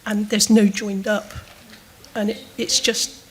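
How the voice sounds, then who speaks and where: Brief: woman in the audience, halting and hesitant, mid-pitch, asking a panel. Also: little pitch movement. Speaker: audience member asking a question. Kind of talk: spontaneous question at a panel discussion.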